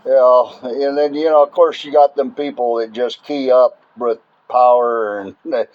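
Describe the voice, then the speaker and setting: A man's voice: medium pitch, level tone, unhurried. Folksy American man, dull lo-fi sound. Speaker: amateur radio operator, channel owner recording contacts. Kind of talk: casual radio chat.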